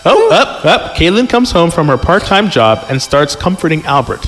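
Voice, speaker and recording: A man's voice: on the high side, big pitch swings, normal speed, fairly bright tone. A man hosting a podcast, reading a story aloud casually, stumbling over the text and breaking off to joke and comment. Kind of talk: casual read-aloud with joking banter. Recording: long reverb, music underneath. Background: music.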